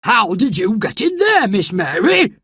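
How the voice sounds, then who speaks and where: Lady doing grumpy old gardener guy, slow and plain. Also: mid-range voice, sing-song, slightly rough, no echo, clean studio sound, exaggerated voice. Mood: gruff. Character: gruff old male gardener, cross but kindly.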